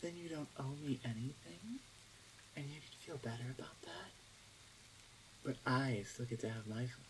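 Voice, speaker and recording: A woman playing a teasing, smug older orc shaman sister in an ASMR roleplay, with a playful, drawn-out, self-assured delivery. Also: rumbling low, a little hiss or hum, poor audio. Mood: playful, gloating. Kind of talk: ASMR roleplay audio drama.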